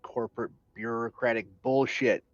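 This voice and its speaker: A man's voice: medium pitch, steady tone, moderate pace, no echo. A man speaking casually in character as a player in an online roleplaying game. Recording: dull, dry online voice chat.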